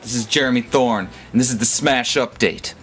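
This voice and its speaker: A man's voice: mid-range voice, normal speed, over music. A young man, visibly drunk, swearing at the camera in a hostile, slurring home-video rant, filmed close up on a camcorder in his apartment. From United States. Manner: foul-mouthed, hostile, slurring. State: intoxicated. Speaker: YouTuber. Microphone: camcorder held close to the face.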